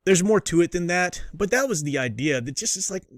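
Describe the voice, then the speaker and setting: A man's voice: high voice, expressive, fairly quick, no room sound, studio quality. A man giving a casual, unscripted review as a host, rambling and interrupting himself with a fan's enthusiasm. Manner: rambling, self-interrupting, enthusiastic fan talk. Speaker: host reviewing books and comics.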